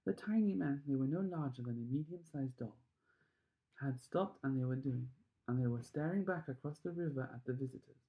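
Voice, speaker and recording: A man reading a children's storybook aloud with expressive, emphatic narration. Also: medium pitch, a little fast, highs cut off. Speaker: story reader.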